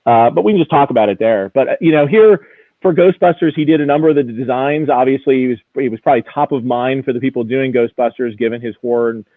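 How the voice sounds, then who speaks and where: Man, auction executive giving a casual live preview; thin phone-like sound, very dry, keen but halting. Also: medium pitch, dry recording. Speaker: auction house executive presenting lots.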